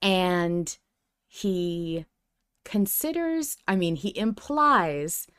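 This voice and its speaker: A woman's voice: fairly low pitch, big pitch swings, drawn-out words, a bit bright, clean tone, dry recording, clean studio sound. A woman podcast co-host chatting casually and analytically as a fan, in an effusive, emphatic way.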